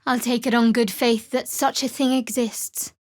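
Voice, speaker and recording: Fantasy game cleric, played by a woman: young female adventurer, guarded and devout, dry wit, measured delivery, lightly high register, clean dry studio sound. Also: flat delivery, no room sound, close to an everyday voice. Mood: dry, sardonic. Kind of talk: fantasy role-playing game voice acting.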